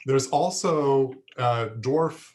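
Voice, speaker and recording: Hoarse guy teaching plants, talks slow, keeps clearing his throat, lots of um and uh. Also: medium pitch, darkish tone, slight background noise. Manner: frequent throat clearing, halting with fillers. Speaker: horticulture instructor. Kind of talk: lecture to a class.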